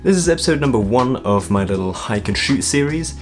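A young man narrating his own hiking vlog in a relaxed, chatty way from his bedroom, with a light laugh at himself now and then. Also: medium pitch, fairly quick. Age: young adult. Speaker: vlogger. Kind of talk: casual travel vlog narration. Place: bedroom, indoors. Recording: music bed under the voice.

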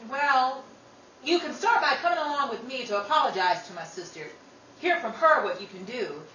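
A woman's voice: high voice, animated, moderate pace, a bit bright. A woman playing a hot-tempered, protective older sister in a 1930s radio drama, brash, scrappy and slangy. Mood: confrontational. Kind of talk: old-time radio drama acting. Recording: roomy, distant mic, faint noise.

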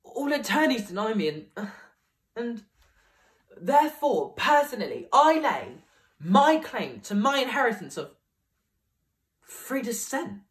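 A man's voice: very high-pitched, big pitch swings, takes it slowly, long pauses, fairly bright tone, exaggerated voice. A young man playing an aggrieved, banished nobleman, declaiming classical verse as he pleads for his inheritance. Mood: indignant. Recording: voice picked up from a distance.

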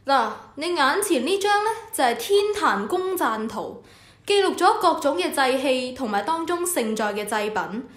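A temple official acted by a woman speaks in a high voice with a Hong Kong Cantonese accent. The manner is authoritative and instructive, as in a scripted radio drama, and the sound is roomy and echoing. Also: lively intonation, normal speed, a bit bright. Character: official in charge of the Temple of Heaven, instructive superior. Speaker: voice actor.

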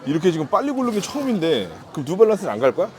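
A man's voice: high-pitched, big pitch swings, very fast, a little mellow, a faint crowd. A man talking casually as a vlog host, with a playful, teasing manner. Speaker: vlog host, husband in a couple channel. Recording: busy noisy urban public places.

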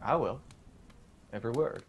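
An actor playing a teasing, sceptical young electrician son in a radio drama, breezy and colloquial. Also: male voice, medium pitch, moderate pace, muffled recording, slight background noise, lightly acted.

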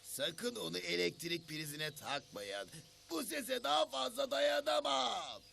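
A man's voice: high-pitched, animated, a little slow, gravelly, pushed and bright, slight background noise, heavily acted. A man voicing a grumpy, exasperated forest creature in a children's cartoon, complaining dramatically and repeating himself. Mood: irritated alarm.

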